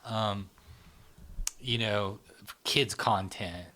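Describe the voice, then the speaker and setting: A man's voice: on the low side, drawn-out words, pushed and bright. A man hosting a podcast, explaining ideas in a loose, rambling way with many fillers and tag questions. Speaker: podcast host. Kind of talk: casual explanatory chat.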